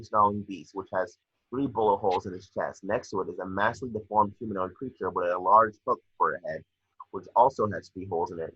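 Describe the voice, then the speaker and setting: A man reading screenplay action lines aloud in a somewhat halting way, as narrator of an amateur table read. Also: on the low side, pushed and bright, husky, highs cut off. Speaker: reader of action descriptions.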